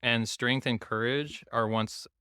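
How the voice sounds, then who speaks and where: A man from Taiwan, a guest on a podcast, talking reflectively and casually, often pausing to search for words. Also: mid-range voice, fairly even intonation, sharp tone, clear, resonant tone, no room sound, clean studio sound. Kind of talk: casual conversation.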